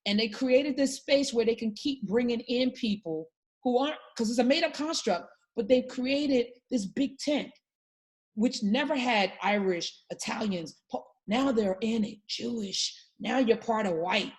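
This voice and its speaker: A woman's voice: on the high side, a little slow, breathy edge. A woman hosting a talk show, speaking with emphatic, passionate conviction in casual political discussion.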